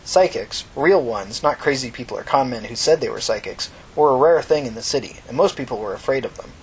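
Male fiction narrator with animated pitch. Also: brisk, slightly rough, poor audio.

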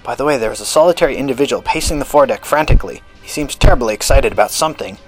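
An excitable old gentleman from a storybook, put on by a man reading aloud. He sounds anxious and exclamatory, speaking in a formal, old-fashioned way with plenty of drama. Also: mid-range voice, racing, soft background music, lightly acted. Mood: observant, intrigued. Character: excitable elderly gentleman host, anxious eyewitness.

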